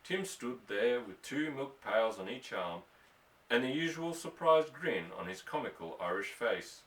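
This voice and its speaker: Man, medium pitch, scripted story narration, audiobook reader, roomy echo, slightly distant microphone. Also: animated, measured pace, fairly bright tone.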